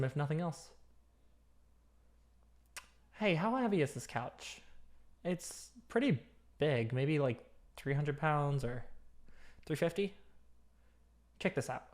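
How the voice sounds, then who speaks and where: An obsessive vampire lab technician, acted out by a performer for an ASMR roleplay. The voice sits mid-low and talks intimately and chattily. The coaxing carries a dominant, starved menace. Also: close to an everyday voice.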